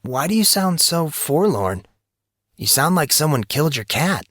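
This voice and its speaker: A man's voice: fairly high pitch, sing-song, unhurried, fairly bright tone, no room sound, clean studio sound, voice pushed up, full character voice. A man voicing a brooding, earnest fourteen-year-old schoolboy in an audio story. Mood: concerned.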